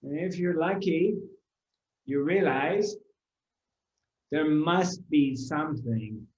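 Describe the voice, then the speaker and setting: A man giving a spiritual discourse to a live audience, unhurried, repeating his phrases and asking rhetorical questions. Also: fairly high pitch, darkish tone. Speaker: spiritual teacher. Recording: roomy, dull lo-fi recording.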